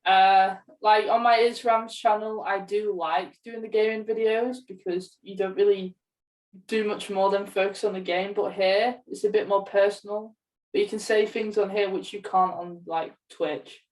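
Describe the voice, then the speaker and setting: A woman's voice: medium pitch, steady tone, normal speed, a little mellow, distant mic, low-quality recording. A young woman co-hosting a podcast, chatting casually and explaining things in a plain-spoken way. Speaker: podcast co-host. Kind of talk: casual chat.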